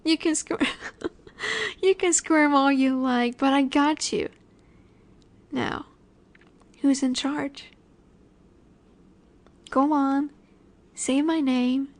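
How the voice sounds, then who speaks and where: A woman acting as a cocky female werewolf alpha in a flirty audio roleplay. Her voice is high and she takes her time over each phrase. She taunts and teases, sure that she is in charge. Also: extremely slow, long pauses, full character voice. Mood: smug, teasing. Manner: teasing, taunting, confident.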